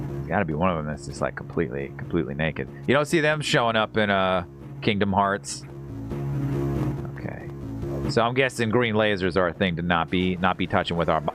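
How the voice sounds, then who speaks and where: A man live streaming a video game, chatting casually with viewers in a rambling, jokey way and reacting aloud as he plays. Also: mid-range voice. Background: video game music.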